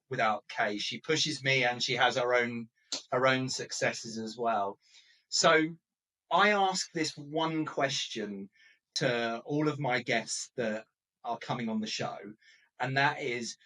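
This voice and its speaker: A man's voice: mid-range voice, a bit bright. A male podcast host with a mid-range voice sounds upbeat and encouraging as he talks with his guest. His voice comes from a little way off the mic. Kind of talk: casual interview talk. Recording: voice a bit distant from the mic.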